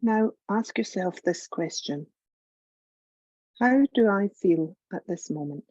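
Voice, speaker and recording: A woman leading a guided meditation as a yoga teacher, calm and measured, giving gentle instructions with long pauses. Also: medium pitch, no room sound, muffled recording.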